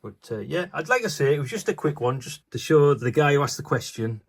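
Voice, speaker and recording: A man's voice: on the high side, animated, fairly quick, creaky, slightly rough. A man hosting his own channel, talking casually and offhand while he works, with lots of fillers, recorded on a phone at an indoor workbench. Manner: rambling, offhand, many fillers. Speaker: channel host. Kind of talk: casual hands-on commentary.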